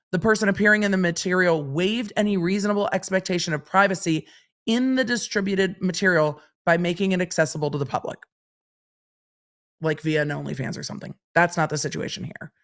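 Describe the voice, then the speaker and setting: Slightly raspy woman explaining legal points. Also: lowish voice, fairly bright tone. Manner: explains statutes point by point, asks and answers her own questions. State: slightly raspy from long days of streaming. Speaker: legal commentator and podcast host. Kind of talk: solo legal podcast commentary.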